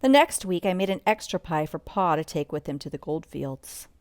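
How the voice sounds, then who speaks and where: Woman reading a bedtime story aloud, lowish voice. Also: sing-song, moderate pace, darkish tone, dry recording, clean studio sound. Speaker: storybook read-aloud reader. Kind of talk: bedtime story read-along narration with asides about pictures.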